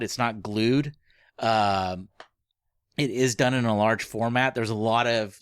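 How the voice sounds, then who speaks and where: A man co-hosting a podcast, chatting casually about books in a rambling, halting way that often trails off mid-phrase. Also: lowish voice, a bit bright, dry recording, clean studio sound. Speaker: podcast co-host. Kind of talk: casual book-club chat.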